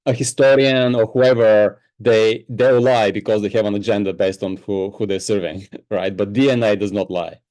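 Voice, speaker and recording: Bulgarian-born man, warm, mellow voice. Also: on the low side, steady tone, normal speed, hardly any pauses, low-quality recording. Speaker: guest, spiritual teacher. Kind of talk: podcast interview.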